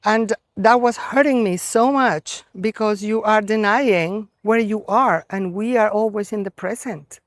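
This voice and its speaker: Colombian lady in her middle years talking to a group, earnest, lots of uh and pauses, mid pitch. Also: no echo, studio quality. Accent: Colombian Spanish. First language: Spanish. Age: middle-aged. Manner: reflective, earnest, with many pauses and fillers. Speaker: gathering organizer. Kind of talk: spiritual talk to a group.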